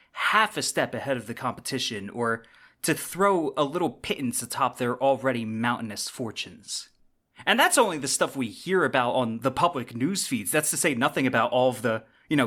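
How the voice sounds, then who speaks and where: A male podcast host with a mid-pitched voice narrates like a storyteller. He stresses phrases for drama, then slips into casual, wry asides as if chatting to the listener. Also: sharp tone. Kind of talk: semi-scripted conversational narration, storytelling monologue.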